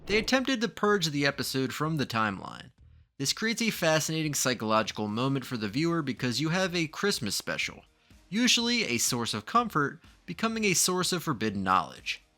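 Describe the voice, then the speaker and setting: A man's voice: a little high, big pitch swings, brisk, sharp tone, faint music behind. A man reading scripted documentary narration with dramatic, ominous emphasis.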